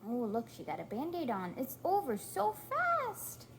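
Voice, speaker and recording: A woman reading a storybook aloud to a toddler in an encouraging, sing-song way, pausing to prompt and praise. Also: sits high, wildly swooping pitch, slight background noise, poor audio. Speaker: parent reading aloud to a young child.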